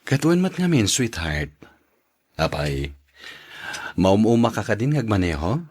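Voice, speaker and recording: A man with an Ilocano Filipino accent and husky voice playing an affectionate, accommodating husband in an audio drama. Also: fairly low pitch, sing-song, measured pace, darkish tone, heavily acted. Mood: affectionate, obliging.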